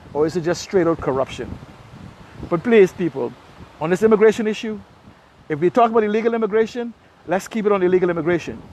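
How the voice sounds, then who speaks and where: A man's voice: high voice, darkish tone, slight background noise, poor audio. A Bahamian man delivering an impassioned political monologue, speaking emphatically with pointed rhetorical questions and repetition. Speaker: political commentator.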